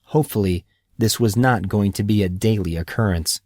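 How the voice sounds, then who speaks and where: A man's voice: on the low side, normal speed, a little mellow, no room sound, studio quality. A man reading a fantasy audiobook as a scripted narration.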